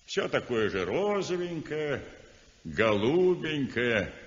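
An actor playing an outspoken art critic in a 1960s radio drama, sardonic and declamatory. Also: male voice, medium pitch, big pitch swings, takes it slowly. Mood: scornful. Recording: dull old radio recording in a roomy hall.

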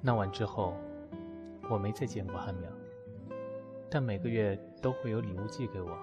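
A man's voice: lowish voice, normal speed, muffled recording, natural voice. A man playing a proud, wounded math teacher in an audio drama, speaking in a curt, cool way. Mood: quiet, resigned. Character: proud, wounded male math teacher rejecting his former lover. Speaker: voice actor in an amateur audio drama. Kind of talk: acted audio drama dialogue. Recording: music behind the voice.

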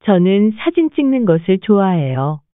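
A woman reads short lesson prompts at a brisk clip. Her pitch moves a lot. The sound is dry and tinny, like a phone line. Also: big pitch swings, smooth, no room sound. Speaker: Korean prompt reader.

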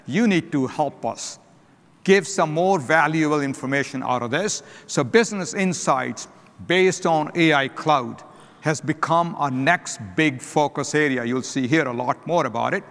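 A man's voice: on the high side, fairly bright tone. Man giving a keynote in a big hall. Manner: throat-clearing, short declarative phrases. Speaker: company CEO giving a keynote. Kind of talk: keynote presentation. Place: large conference stage. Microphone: headset mic. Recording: long reverb of a large hall.

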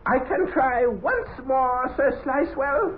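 A gallant, old-fashioned penknife knight in a 1940s radio fantasy. The voice is high and unhurried, on a muffled broadcast with faint noise. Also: male voice, lively intonation, full character voice.

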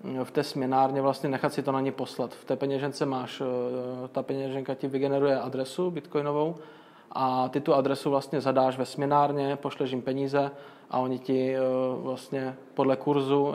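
A young man talks through his answers in an extremely flat, level tone and a middle pitch. He explains things step by step and keeps pausing on fillers and restarting his sentences. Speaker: guest, crypto magazine owner. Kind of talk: casual interview answers.

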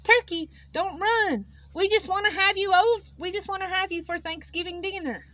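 A woman's voice: extremely high pitch, normal speed, bright, forceful tone, voice pushed up. A woman voicing a polite, determined male moose in a picture book, earnest and coaxing, recorded outdoors. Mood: sweetly coaxing. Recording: outdoor noise with clucking poultry.

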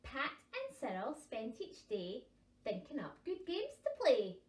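A woman, reading picture books to young children with chatty, expressive asides. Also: high-pitched, measured pace, slight background noise. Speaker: library storytime presenter. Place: small carpeted room at home, seated on cushions. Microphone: camera a couple of metres away. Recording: roomy sound from a distant camera mic.

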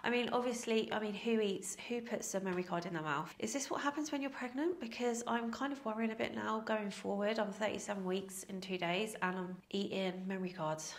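A woman's voice: mid-range voice, a little fast, few pauses. A woman in her thirties with a nasal, cold-stuffed voice, chatting to camera in a casual Q&A, laughing at herself often. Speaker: fitness vlogger. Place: small indoor room with plants. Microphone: lapel mic.

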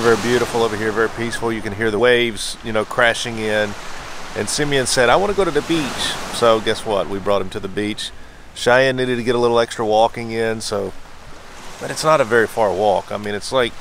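A young man holds his camera at arm's length on a coastal trail, his voice a little lower than most. He talks in an upbeat, tour-guide manner, and a faint hum of wind and waves sits behind him. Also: a bit bright. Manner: enthusiastic tour-guide chatter. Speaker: vlogger. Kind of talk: casual travel vlog narration. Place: outdoors on a wooded coastal trail. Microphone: handheld camera at arm's length.

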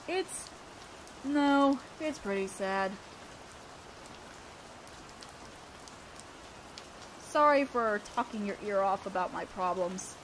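A young woman playing an awkward, earnest teenage student council president in a romantic audio roleplay, speaking in a shy, halting way. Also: medium pitch, a little hiss or hum. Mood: wistful, downcast.

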